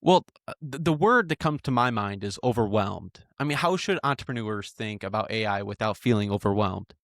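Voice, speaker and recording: A man in his twenties hosting a casual interview, asking informal questions in a halting way. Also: on the low side, expressive, a bit bright, no echo, clean studio sound. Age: 20s. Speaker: podcast host, interviewer.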